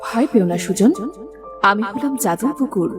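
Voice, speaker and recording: A woman's voice: medium pitch, expressive, normal speed, music in the background, lightly acted. A woman voicing a kind, reassuring magical talking pond in a children's cartoon story.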